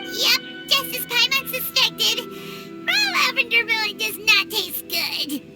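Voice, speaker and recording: A woman voicing a tiny, childlike fairy girl companion in a video game, chatty and cheeky, squeaky and very expressive. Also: takes it slowly, over music, exaggerated voice. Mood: opinionated. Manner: squeaky, expressive, refers to herself by name.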